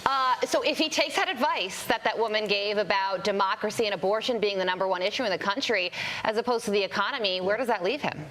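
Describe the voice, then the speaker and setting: A woman's voice: mid-range voice, quick pace, fairly bright tone. An American woman co-hosting a live morning news show in a conversational style. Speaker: TV news co-host.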